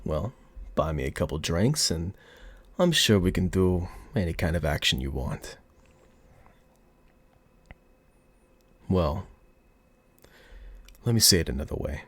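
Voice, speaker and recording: Casting: male actor as flirtatious, arrogant mob boss for ASMR roleplay; deep register, highly expressive, taunting, affected gangster accent. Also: breathy edge, no echo. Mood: suggestive, teasing. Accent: put-on mafia gangster accent. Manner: teasing, taunting, drawn-out seductive delivery. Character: cocky, flirty, smug male mafia boss. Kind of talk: ASMR audio roleplay acting.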